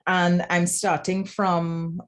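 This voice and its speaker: A woman's voice: on the low side, level tone, slow pace, noisy background. A woman with a Trinidadian accent speaking as a lecturer at an informal roundtable, explaining reflectively and often checking in with "right?". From Trinidad and Tobago. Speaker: academic writing lecturer, panel speaker.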